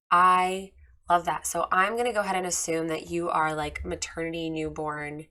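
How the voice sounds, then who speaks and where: A woman with a slightly hoarse voice after losing it, giving a candid, chatty review of a photographer's website with many fillers. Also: fairly low pitch, sharp tone. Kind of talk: casual screen-share critique.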